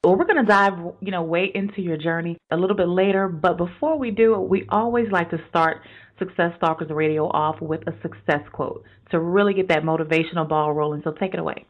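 A woman hosting a business radio interview, with an energetic, promotional delivery. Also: fairly low pitch, a little fast, dull audio. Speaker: podcast host.

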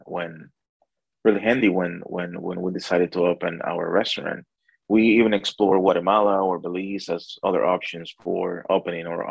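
A low-voiced man with a Salvadoran accent and a husky, creaky edge. He tells his story as an interview guest in a conversational, somewhat halting way, repeating words as he goes. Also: no room sound, low-quality recording. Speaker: interview guest, restaurant owner.

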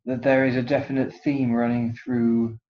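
A man's voice: mid-range voice, flat delivery, normal speed. A man hosting a podcast, asking questions politely with frequent uhs. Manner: polite, hesitant with fillers. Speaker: podcast host. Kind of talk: podcast interview. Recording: dull lo-fi sound.

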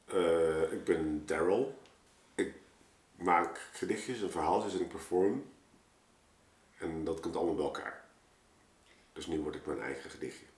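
Male guest, deep voice, Netherlands Dutch accent, informal explainer, roomy sound. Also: unhurried, pauses often, far from the mic. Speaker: guest, poet and performer. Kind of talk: casual explanatory chat. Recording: echoey room, slightly off mic.

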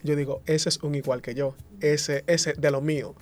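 Man, somewhat high voice, lecturing tone, panel discussion. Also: steady tone, moderate pace. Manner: lecturing, with fillers and restarts. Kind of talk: explanatory academic talk.

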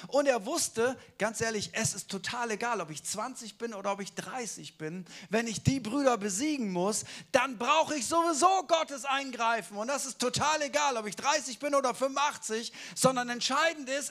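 A man's voice: very high-pitched, pushed and bright. A man giving a casual, colloquial sermon who keeps asking the listeners rhetorical questions. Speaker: preacher.

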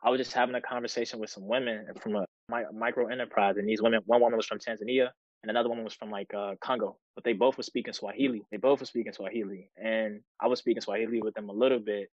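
A young man in his twenties from Chicago, telling a story on a student podcast in a steady, low-key way. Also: quick pace, no room sound. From Chicago, United States. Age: 20s. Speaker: student guest. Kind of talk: casual podcast conversation. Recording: dry, dull lo-fi sound.

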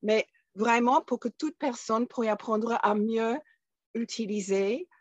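A woman's voice: on the high side, slow pace, no room sound, dull audio. A woman with a foreign accent in French, explaining things conversationally as an interview guest and instructor.

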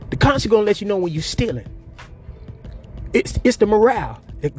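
A man preaching a fervent sermon, calling out to the congregation and swinging from low murmurs to high cries. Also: very animated, brisk, warm tone, faint music behind. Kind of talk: impassioned sermon.

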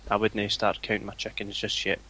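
Flat-voiced Scottish man, bright tone. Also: on the low side, fairly quick, breathy edge, low-quality recording. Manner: flat, self-described boring voice. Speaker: forum owner, guest. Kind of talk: casual chat.

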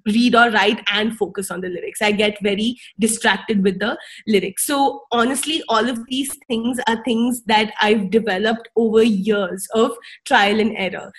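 A woman's voice: a little high, fairly even intonation, moderate pace, slightly rough. A young woman whose first language is Tamil, a singer, giving casual advice in a chatty way, full of fillers and tag questions. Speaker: speaker sharing wellbeing advice.

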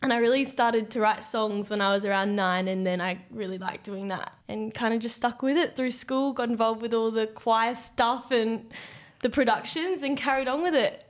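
Bright-voiced young Australian woman. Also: on the high side, moderate pace. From Western Australia. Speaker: guest, band musician. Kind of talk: casual interview chat.

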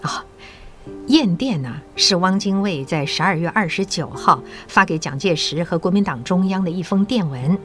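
An actress playing a mature, patriotic school principal in an audio drama, encouraging and persuasive with measured authority. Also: female voice, fairly low pitch, lively intonation, a bit bright, soft background music. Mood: earnest indignation.

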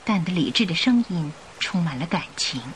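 A woman narrating a scripted radio drama in an expressive, carefully enunciated storytelling style. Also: lowish voice, normal speed, a little hiss or hum, low-quality recording.

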